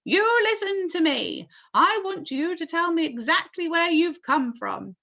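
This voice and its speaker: A woman voicing a blustering, panicky police chief in a read-aloud story, shouting his lines. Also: very high voice, very animated, unhurried, vocal fry, voice pushed up, exaggerated voice. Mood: commanding. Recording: dull lo-fi recording.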